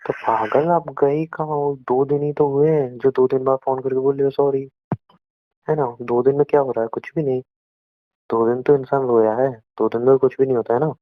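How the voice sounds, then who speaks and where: A man's voice: mid-range voice, fairly even intonation, moderate pace, no echo. A young Indian man in an emotional phone conversation with an ex, hurt and close to tears, reproachful and repeating himself. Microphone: phone call. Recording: muffled lo-fi phone call recording.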